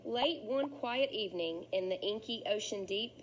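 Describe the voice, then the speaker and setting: A woman's voice: medium pitch, lively intonation, normal speed, highs cut off. Woman reading a picture book, noisy recording. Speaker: school teacher reading aloud to pupils. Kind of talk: children's picture book read-aloud.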